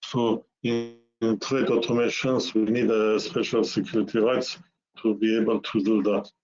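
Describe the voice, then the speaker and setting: A man narrating a software demo webinar, explaining step by step in a halting way with repeated words. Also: fairly low pitch, little pitch movement. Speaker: software presenter. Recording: muffled lo-fi webinar audio.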